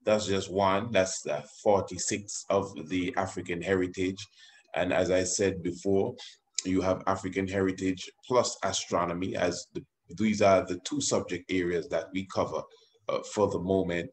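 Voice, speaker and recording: A man's voice: sits low, fairly even intonation, normal speed. A man presenting a homeschooling program in informal promotional commentary, often repeating and rephrasing himself. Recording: faintly noisy voice recording.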